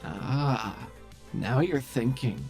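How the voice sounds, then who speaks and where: Game master as a dry, creaky-voiced businesslike woman. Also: medium pitch, drawn-out words, a little mellow, soft background music. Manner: dry, formal, wry.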